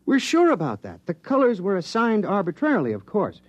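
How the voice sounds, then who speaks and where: An actor playing an earnest, absorbed government electronics researcher in a 1950s radio drama, explaining things precisely and a little hesitantly. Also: male voice, high-pitched, wildly swooping pitch, fairly quick, no echo, lo-fi sound, heavily acted. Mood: absorbed, eager. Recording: vintage 1950s radio recording.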